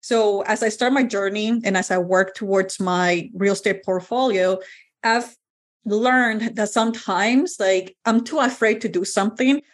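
A woman's voice: medium pitch, a little slow. A woman from Colombia, guest on a podcast, answering reflectively with frequent "like" fillers. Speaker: guest, engineer and real estate investor.

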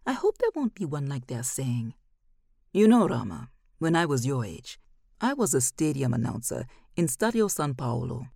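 A woman's voice: a bass voice, very animated, normal speed, gravelly, mellow, slightly rough, no echo, clean studio sound, heavily acted. A woman voicing a nostalgic old man, a boastful former stadium announcer, theatrically reminiscing in a storybook reading. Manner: theatrical, reminiscing, calling out names.

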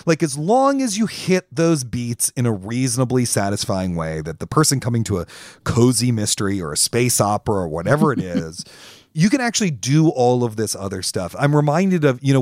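A man with an American accent talks as a podcast co-host in a mid-pitched voice. He is informal and musing, thinking aloud conversationally, with a dry, close sound. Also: dry recording. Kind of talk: casual conversational discussion.

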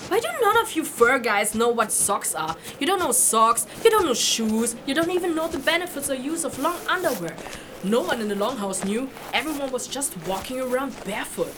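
Woman playing high, animated, sarcastic grumbler. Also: fairly quick. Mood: sarcastic grumbling. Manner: flippant, complaining, casual modern speech. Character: sarcastic, grumbling human woman lost in fantasy wilderness. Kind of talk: fantasy audio drama acting. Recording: clear background noise.

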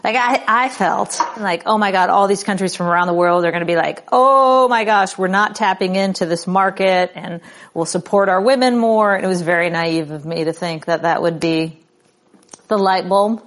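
A woman in her forties hosting a casual, playful interview, animated and quick to laugh. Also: medium pitch. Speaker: interview host.

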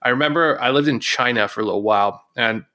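A man co-hosting a podcast, explaining points in a measured, casual way. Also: medium pitch, pushed and bright. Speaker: podcast co-host.

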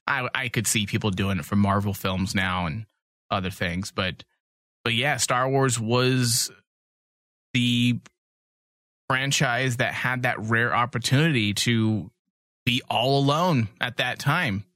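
A man's voice: mid-range voice, slow, bright, forceful tone, no room sound. A man hosting a casual, opinionated podcast, talking bluntly and emphatically with some swearing. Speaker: podcast host.

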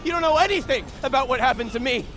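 A man's voice: extremely high pitch, animated, fast talker, over music. A man playing an angry, defiant young man bent on vengeance, curt and bitter, in an audio drama. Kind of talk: immersive audio drama acting.

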